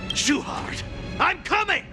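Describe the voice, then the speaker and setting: An actor playing an impatient, hurried schemer in a video game, with music in the background. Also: male voice, very high-pitched, lively intonation, full character voice.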